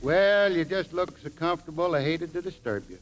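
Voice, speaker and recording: A man's voice: on the high side, moderate pace, lightly acted. An actor playing a shy young soldier in a vintage radio comedy, hesitant and folksy, stumbling over his words. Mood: bashful. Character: bashful, awkward young soldier houseguest. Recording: noisy old radio recording.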